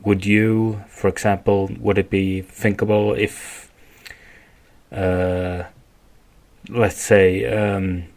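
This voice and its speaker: A Swedish man hosts a solo podcast in accented English. His voice sits on the low side. He speaks very slowly, feeling his way through an argument with repeats and rephrasing. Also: darkish tone. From Sweden. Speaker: podcast host. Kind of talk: rambling solo podcast monologue.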